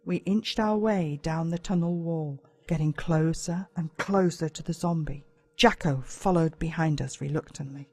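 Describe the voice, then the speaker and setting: A woman's voice: sits low, normal speed, darkish tone. Australian woman narrating a suspenseful story. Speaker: audiobook narrator. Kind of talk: dramatic first-person storybook narration.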